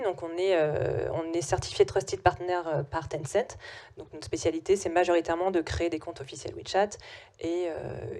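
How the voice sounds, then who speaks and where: A woman's voice: low voice, gravelly, a little mellow. A young adult woman speaking at a business conference and answering questions, with frequent hesitations and restarts. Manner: hesitant, many "euh" fillers and restarts. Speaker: conference speaker, company CEO. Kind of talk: conference talk with Q&A.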